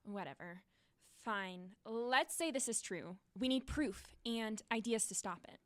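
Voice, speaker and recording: A woman's voice: fairly high pitch, lively intonation, a little slow, dry recording. A young woman playing a skeptical, protective teenage girl in an audio drama, blunt and wary.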